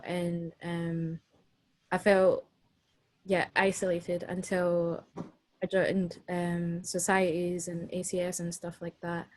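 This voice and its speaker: A woman student from Zimbabwe speaking on a panel, soft-spoken and halting with many pauses. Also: fairly low pitch. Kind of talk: panel discussion.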